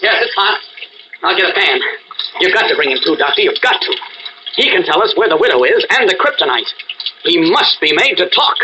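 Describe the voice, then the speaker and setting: A man's voice: high voice, very animated, a little fast, noisy background. A man playing an earnest newspaper reporter in a radio serial, insistent and pleading. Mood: urgent. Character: earnest, urgent male newspaper reporter with secret identity. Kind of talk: old radio serial acting. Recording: dull, band-limited radio-style recording.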